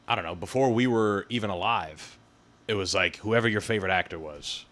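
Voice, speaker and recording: A young man hosts a casual talk show with a very low voice that still carries a bright, forward edge. He keeps things relaxed and laughs often between remarks. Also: a little fast. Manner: laid-back, laughs easily. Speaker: podcast host. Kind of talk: casual chat.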